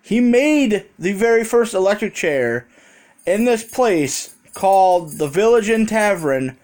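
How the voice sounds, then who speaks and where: American man, slightly high-pitched voice, casual storytime vlog, rambling, conversational, profane, handheld phone. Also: animated, takes it slowly, darkish tone. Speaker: vlogger.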